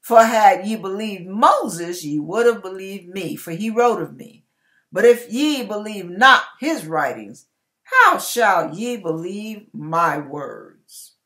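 A woman's voice: medium pitch, expressive, slow, fairly bright tone. Middle-aged woman reading scripture emphatically. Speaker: online church minister reading scripture. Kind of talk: reading Bible scripture aloud. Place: small indoor room with curtain.

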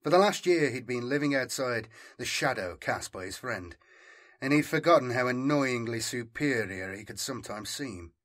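A man's voice: medium pitch, animated, moderate pace, a bit bright. A man reading scripted dramatic fiction as an audiobook narrator.

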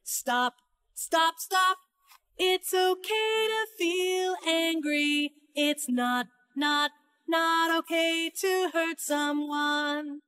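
A woman's voice: very high-pitched, very slow, bright, forceful tone, no echo, faint music behind, heavily acted. A woman playing a firm, caring grown-up in a children's cartoon, repeating simple lessons emphatically. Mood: firm, instructive. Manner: firm, emphatic repetition, instructive.

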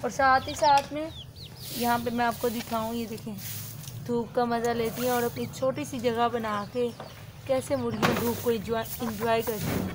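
A woman's voice: fairly high pitch, fairly even intonation, normal speed, heavy background noise. A young woman explaining a home recipe step by step in a chatty, casual way, recorded on a phone outdoors at home. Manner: chatty step-by-step explaining, frequent religious blessings. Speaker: home cooking vlogger. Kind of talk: casual cooking demonstration.

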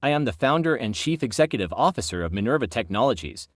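A man playing a proud captive tech founder under questioning, deliberate and self-important, in an amateur audio drama. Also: medium pitch, very animated, no room sound, clean studio sound, lightly acted. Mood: defiant. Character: proud captive male technology company founder.

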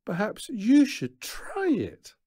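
A self-assured storybook showman, voiced by a male reader, coaxing and teasing. His intonation is very lively and confident. The recording is close and dry. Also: high voice, slow, no room sound, voice pushed up, exaggerated voice. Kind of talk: children's storybook character voice.

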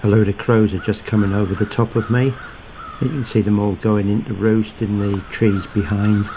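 Casting: man with a lowish voice, plainspoken field narrator, faint outdoor hiss behind. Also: fairly even intonation, normal speed. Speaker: pest controller narrating hunt footage. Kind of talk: calm low-key voice-over narration.

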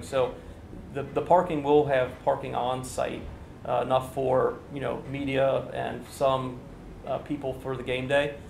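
A man's voice: mid-range voice, vocal fry, a little mellow, a little hiss or hum. A man in his forties, a construction official, giving businesslike answers at a press briefing in an indoor conference room, speaking into a standing mic. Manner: explanatory, businesslike, with many fillers. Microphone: standing microphone, TV camera. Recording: echoey room.

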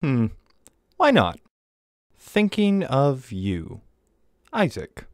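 A man's voice: mid-range voice, huge pitch swings, extremely slow, no echo, studio quality, exaggerated voice. A man voicing a wealthy, curt, aloof young businessman in a visual novel game. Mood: cool, curt.

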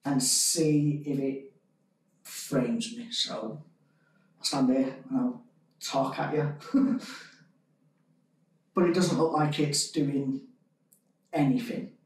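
A man's voice: medium pitch, fairly even intonation, slow pace, pauses often. A man, casually demonstrating gear in a meeting room and filling his talk with "um" and "uh". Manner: chatty, filler-heavy, thinking aloud. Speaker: product demonstrator. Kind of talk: casual product demo. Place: meeting room with large table. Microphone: conference room device mics, distance varies. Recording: echoey meeting room, distant mic.

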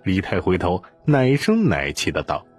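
A man narrates a dramatic audiobook tale in a fairly low voice. His intonation swings widely and the tone is a little husky, with faint music underneath. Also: huge pitch swings, normal speed. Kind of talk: scripted dramatic story narration.

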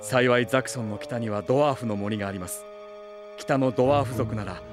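A man playing a gentle, thoughtful sage and father in an anime audio drama, polite and measured. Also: medium pitch, music in the background, lightly acted. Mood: calm, serious.

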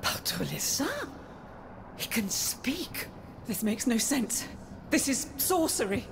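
A woman's voice: a little high, sing-song, slow pace, creaky, background noise, heavily acted. A woman playing a refined, haughty French queen in a video game, with a theatrical, aristocratic manner. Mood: astonished. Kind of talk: dramatic video game cutscene acting.

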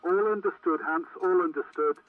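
A man's voice: sits high, normal speed. Tinny man's voice over CB radio. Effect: CB radio transmission. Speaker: CB radio operator. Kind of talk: formal radio-procedure chat. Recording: tinny, noisy, distant CB radio link.

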